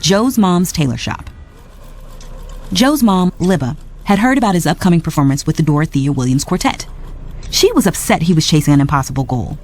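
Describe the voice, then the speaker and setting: Expressive woman reading a storybook. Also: sits low, a little fast. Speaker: storybook narrator. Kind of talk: scripted read-along narration. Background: music.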